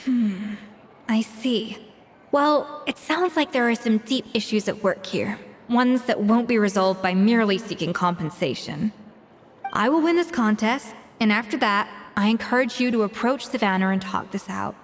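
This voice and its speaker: A woman's voice: on the high side, lively intonation, moderate pace, slight background noise. A woman voicing a proud, exacting but caring master chef in a video game, composed and articulate. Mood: confident. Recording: reverberant, background music.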